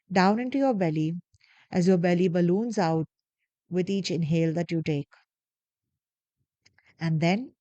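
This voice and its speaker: A woman guiding a body scan meditation, giving slow, soft, calm instructions with long pauses. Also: fairly low pitch, dry recording. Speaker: meditation guide. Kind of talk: guided meditation.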